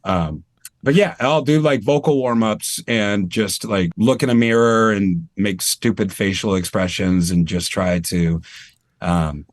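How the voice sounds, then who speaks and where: A man's voice: lowish voice, unhurried, no room sound. A man from Maine, a tribute performer talking casually and animatedly as an interview guest over a video call. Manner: chatty, animated, self-deprecating.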